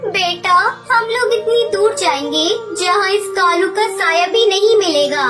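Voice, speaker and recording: A woman voicing a small, plucky, caring mother songbird in a children's cartoon, earnest and expressive. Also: extremely high pitch, measured pace. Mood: worried. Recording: loud music under the voice.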